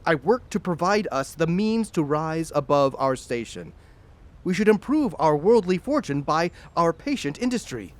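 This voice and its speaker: A man's voice: fairly high pitch, big pitch swings, normal speed. Man acting an anguished miser, wildly swinging voice. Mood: defensive, wounded. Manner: theatrical, pleading. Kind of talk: audio drama acting.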